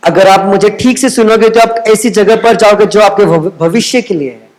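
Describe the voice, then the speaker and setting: Male church interpreter, notably high voice, relaying a sermon in short consecutive phrases. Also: moderate pace, a little mellow, poor audio.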